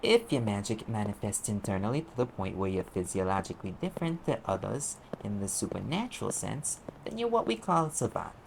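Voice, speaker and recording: Man acting a gravelly, sarcastic private eye. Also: fairly low pitch, big pitch swings, brisk, hardly any pauses, very noisy. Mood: wry, explaining. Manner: sarcastic, exasperated, conversational explaining. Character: sarcastic male private eye mage, impatient and wisecracking. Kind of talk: audio roleplay acting, one side of a dialogue.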